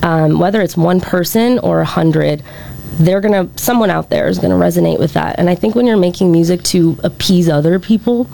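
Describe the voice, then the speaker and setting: A woman singer being interviewed, with a deep voice, talking reflectively and using many fillers. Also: brisk, gravelly, warm tone, slight background noise. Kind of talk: casual interview conversation.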